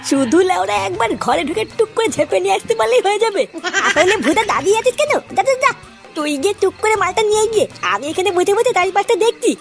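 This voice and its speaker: Very squeaky, fast-talking cartoon rascal. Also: creaky, soft background music, full character voice. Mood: scheming, impatient. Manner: crude, slangy, excitable. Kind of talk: comic cartoon dialogue.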